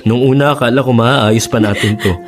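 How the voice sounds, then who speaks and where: An actor playing an earnest, hurt and principled man in a Tagalog radio drama, speaking in an emotional, halting way. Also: mid-range voice, fairly even intonation, soft background music, natural voice. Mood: pained, resolute. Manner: emotional, pleading, halting delivery.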